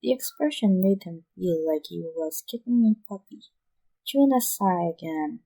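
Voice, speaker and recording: A woman's voice: fairly low pitch, lively intonation, a little slow, gravelly, warm tone, slightly rough, rough recording. A woman reading scripted fan fiction aloud as an amateur audiobook narrator.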